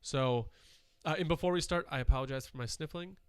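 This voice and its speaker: Man with a smooth, dry voice. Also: fairly high pitch, a little fast, dry recording. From Wisconsin. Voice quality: nasal from dust irritation. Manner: relaxed, rambling with fillers. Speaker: podcast co-host. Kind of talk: casual chat.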